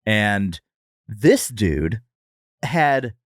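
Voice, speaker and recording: A man's voice: fairly low pitch, very animated, extremely slow, no echo, clean studio sound. A man co-hosting a podcast, giving a wry, descriptive review in casual, comedic conversation. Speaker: podcast co-host.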